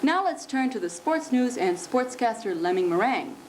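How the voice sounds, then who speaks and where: Woman playing a deadpan news anchor, noisy recording. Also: on the high side, moderate pace, natural voice. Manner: deadpan, official announcer delivery. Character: straight-faced female futuristic TV news and weather anchor. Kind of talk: scripted parody newscast. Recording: noisy amateur 1970s recording.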